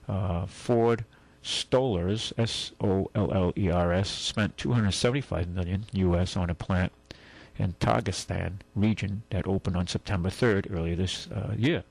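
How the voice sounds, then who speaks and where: Male radio host, deep and gravelly, husky tone, rambling with lots of fillers. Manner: rambling, many fillers and restarts. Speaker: radio car show host. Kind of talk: casual radio talk.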